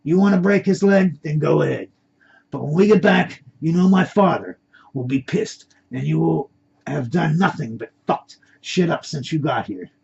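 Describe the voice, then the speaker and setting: A man plays a cool masked gunman in a crime story, condescending and in command. The voice is husky and creaky, with a dull lo-fi sound. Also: fairly high pitch, animated, moderate pace, darkish tone, full character voice. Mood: cold, sarcastic. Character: cool, menacing male masked gunman, boss's son. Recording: dull lo-fi recording.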